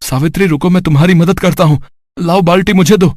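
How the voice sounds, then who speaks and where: A man's voice: sits high, fast, darkish tone, lightly acted. An anxious, humble labourer father played by a man in a cartoon story. He talks in an earnest, pleading way, with noise behind him. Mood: reassuring. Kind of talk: Hindi cartoon story character voice.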